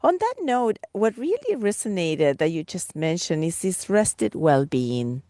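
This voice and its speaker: Low, dry-sounding woman from Argentina. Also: sing-song, a little slow, warm tone, no room sound. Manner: searching, meandering, with many restarts. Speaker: caller asking a question. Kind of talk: earnest live Q&A question.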